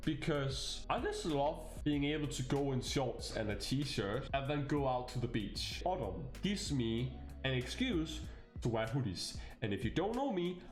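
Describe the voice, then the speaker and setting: Chatty Danish man, lively swings in pitch. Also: reverberant. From Denmark. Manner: chatty, animated, jokey with bursts of laughter. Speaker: VTuber. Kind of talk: casual self-introduction video.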